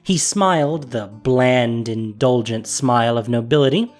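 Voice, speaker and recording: A narrator reading a fantasy audio drama in an expressive storytelling manner. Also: mid-range voice, measured pace, soft background music.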